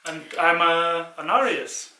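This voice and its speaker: Swiss man with a bright voice. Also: on the high side, measured pace. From Switzerland. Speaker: vlogger co-hosting a couples' channel. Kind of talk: casual Q&A chat. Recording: echoey room.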